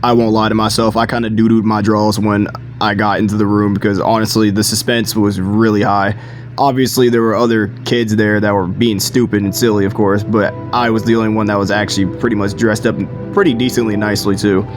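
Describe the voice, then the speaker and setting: A man's voice: fairly low pitch, level tone, fairly quick. A young man in his late teens telling a story in a chatty, slangy, self-deprecating way. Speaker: video creator. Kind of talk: casual storytime vlog. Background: music.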